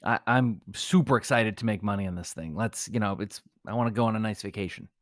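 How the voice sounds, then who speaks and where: A man's voice: lowish voice, fast talker, no room sound, studio quality. A man co-hosting a comedy podcast, moving between banter and mock-solemn reading.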